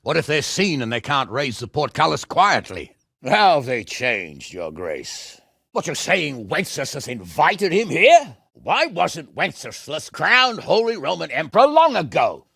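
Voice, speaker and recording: A man playing a gruff, blunt, hot-tempered middle-aged medieval nobleman in a video game, commanding and scolding in a gravelly, husky voice. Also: fairly high pitch, wildly swooping pitch, unhurried, fairly bright tone, dry recording, studio quality, heavily acted. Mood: low, deliberate. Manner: blustering, scolding, swearing, commanding.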